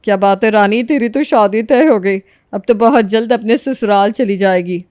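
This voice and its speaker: A woman's voice: mid-range voice, normal speed, a little mellow, dry recording, studio quality, lightly acted. A woman playing a worldly young friend who speaks in a cautionary way in a cartoon story. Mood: knowing.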